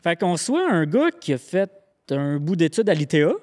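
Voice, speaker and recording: A man co-hosts a podcast in easy, plain talk. His voice sits somewhat high for a man. He introduces a guest at an easy, casual pace. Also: sing-song, normal speed, darkish tone. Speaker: podcast co-host.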